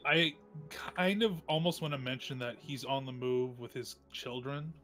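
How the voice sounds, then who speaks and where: A man's voice: mid-range voice, moderate pace, fairly bright tone. A man chatting casually as a player in a tabletop game. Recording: background music behind voice. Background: music.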